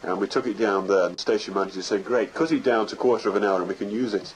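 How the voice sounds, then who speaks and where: A man's voice: lowish voice, fast, slight background noise, rough recording. A man chatting casually as an interview guest. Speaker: interview guest, radio drama maker.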